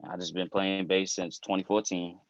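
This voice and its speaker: A man's voice: deep voice, level tone, normal speed, no echo. A man in his early twenties, a guest musician, telling his story in a chatty way. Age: 20s. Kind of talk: casual interview storytelling. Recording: dull dry lo-fi sound.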